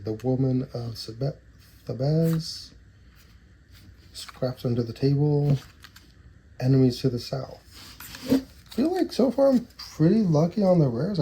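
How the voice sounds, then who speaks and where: A man's voice: mid-range voice, mellow. A man in his thirties with a stuffy, nasal voice from a cold, casually reading card names aloud and chatting while opening card packs. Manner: rambling, reads card names aloud between asides. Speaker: trading card collector opening booster boxes. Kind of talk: casual box-opening commentary. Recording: noisy with card shuffling and clicks.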